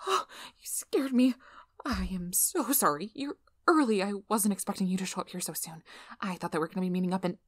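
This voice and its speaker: A very dry, intimate recording of a woman acting out a flustered young female researcher in an ASMR role-play. She speaks at a medium pitch, rambling and stumbling apologetically, and slips into breathy, whispered asides. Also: expressive, a little fast, no room sound, natural voice. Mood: flustered, apologetic. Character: nervous, rambling young woman PhD researcher of magical creatures.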